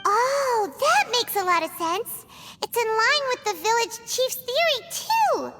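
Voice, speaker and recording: A squeaky, excitable little fairy companion for a video game, her very high voice leaping all over the place. She reacts quickly and anxiously to everything she hears. A long reverb and game music sit behind her. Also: exaggerated voice. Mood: curious. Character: small floating female fairy companion, chirpy.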